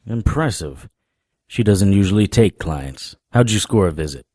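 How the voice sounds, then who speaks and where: A hard-boiled detective of the supernatural in a noir audio drama. The voice sits low and is recorded very dry. He tells it with deadpan, sardonic wit and a world-weary air. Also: moderate pace, dry recording. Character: wry, world-weary male supernatural private detective narrator. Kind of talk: noir radio drama narration.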